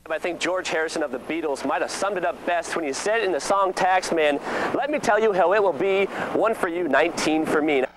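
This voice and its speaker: A man gives an outdoor television field report. His voice is high and quick, with clear street noise behind it.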